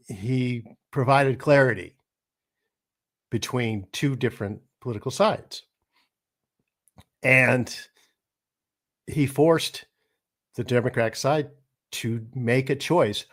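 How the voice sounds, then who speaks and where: A man hosting a podcast, giving earnest commentary in a throaty voice from a cold, with fillers and restarts. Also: mid-range voice. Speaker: host.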